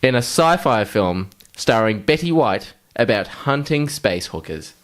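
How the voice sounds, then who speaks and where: A man's voice: mid-range voice, animated, unhurried, slight background noise. A man co-hosting a podcast, chatting casually and improvising comic stories. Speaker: podcast co-host. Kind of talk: casual joking chat and improvised storytelling.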